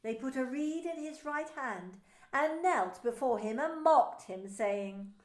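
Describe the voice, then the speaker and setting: Slow woman reading scripture aloud. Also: high voice, animated, darkish tone, echoey room. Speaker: pastor narrating.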